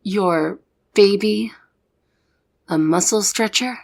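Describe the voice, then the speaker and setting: A young woman playing a soft-hearted, easily exasperated secret agent in an ASMR audio roleplay. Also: medium pitch, slow pace, gravelly.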